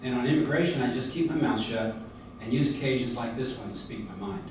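A middle-aged American man giving an earnest prepared speech at a podium, often pausing on fillers and restarts. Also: mid-range voice. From United States. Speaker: convention speaker. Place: large hotel conference hall, indoors. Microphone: podium mic, filmed by a far camera. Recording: echoey hall from far off, background noise.

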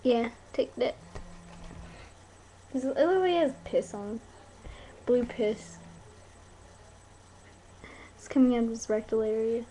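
A woman's voice: a little high, animated, slow pace, long pauses, warm tone. A young woman chatting casually into a webcam in a bedroom, rambling in an informal, playful, easily sidetracked way. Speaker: video blogger. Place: small bedroom, indoors. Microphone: webcam mic at close range. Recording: noisy cheap webcam audio.

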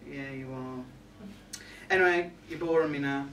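A man's voice: medium pitch, normal speed, distant mic, natural voice. A young man playing a catty, resentful reindeer in a comic monologue, indignant and gossipy, with arch asides to a live audience. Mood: chatty, arch. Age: young adult. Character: catty, resentful male reindeer grumbling about Santa's crew. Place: small pub room with a live audience. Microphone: far camera. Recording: echoey room from afar, background noise.

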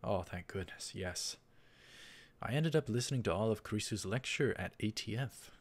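A man's voice: lowish voice, animated, moderate pace. A young man hosting a let's play, reading visual novel text aloud and adding casual commentary. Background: game music.

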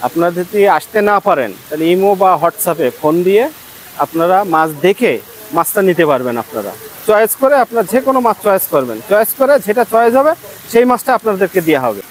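A man in his thirties speaking quickly about his hatchery's fish, repeating figures in plain sales talk. His voice sits at a middle pitch, picked up on a lapel mic outdoors with faint noise around. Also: very animated, low-quality recording. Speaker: hatchery manager. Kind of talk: explaining prices in an interview.

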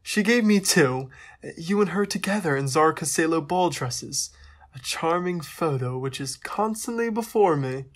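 A man's voice: on the high side, expressive, unhurried. An actor playing an affectionate, lighthearted young Russian crown prince, reading his letters aloud in a playful, formal way. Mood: fond, playful. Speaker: actor in an audio drama. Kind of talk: dramatic reading of personal letters.

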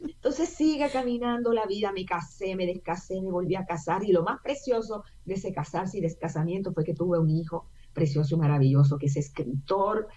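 A woman's voice: fairly low pitch, normal speed, slight background noise. Chatty Puerto Rican woman on a video call. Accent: Puerto Rican Spanish. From Puerto Rico. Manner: chatty, animated storytelling with frequent fillers. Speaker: guest, actress and singer. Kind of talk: casual interview talk. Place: home room via video call. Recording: dull lo-fi video call audio.

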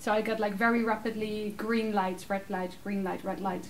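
Woman, 30s, native Dutch speaker, animated casual answers, distant mic, light background noise. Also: medium pitch, normal speed. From Netherlands. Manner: animated, laughs often, talks with her hands. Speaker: speaker answering audience questions. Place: small room with curtained backdrop, seated.

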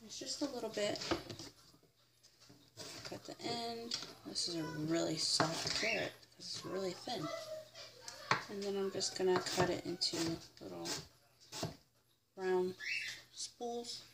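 A woman's voice: medium pitch, measured pace, long pauses. A young American woman chattily talking through a casual cooking demonstration, step by step, in a home kitchen. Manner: chatty, informal, narrating each step. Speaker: home cook, vlogger. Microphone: fixed camera on the counter. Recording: very noisy home kitchen recording with clatter. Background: kitchen clatter, people in the background.

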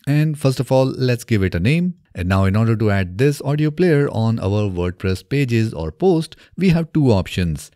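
A man in his thirties presenting a software tutorial step by step in a methodical way, recorded close on a desk microphone in a small home studio. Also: medium pitch, animated, normal speed, hardly any pauses, dry recording. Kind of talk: scripted software tutorial narration. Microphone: close desk microphone on boom arm.

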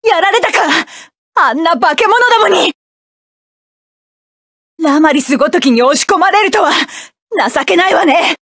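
A voice actress playing a proud young mech pilot in a game, shouting commanding, defiant battle lines. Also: female voice, extremely high pitch, animated, normal speed, long pauses, no echo, studio quality, heavily acted. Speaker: mecha pilot character.

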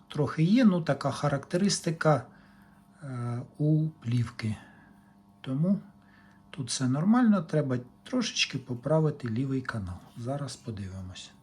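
Male hobby technician, unhurried, matter-of-fact, fragmentary hands-on commentary, slightly noisy home recording. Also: medium pitch, darkish tone. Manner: matter-of-fact, talking in short fragments while working. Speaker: hobbyist repair technician presenting a demonstration. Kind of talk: unscripted technical demonstration, talking through measurements.

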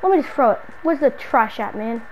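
A woman's voice: on the high side, lively intonation, fairly quick, a little mellow, highs cut off, slight background noise, lightly acted. A woman playing an exasperated, slangy city dweller hounded by a stalker friend in an improvised video game roleplay. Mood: casual muttering. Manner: slangy, muttering to self, then scolding.

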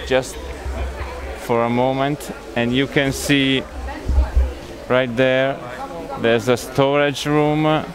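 A man with an Italian accent talks at a slow pace in a medium-pitched voice. He explains things casually, feeling for his words in hesitant phrases. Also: fairly even intonation, music in the background. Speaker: exhibitor, hobby model builder. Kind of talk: casual on-camera explanation of a display. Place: large busy exhibition sports hall. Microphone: handheld interview microphone.

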